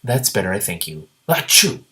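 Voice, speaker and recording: A man's voice: on the low side, very animated, moderate pace, sharp tone. A man voicing a fussy old male dragon from a storybook, grumbling and blustering through sneezes. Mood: relieved.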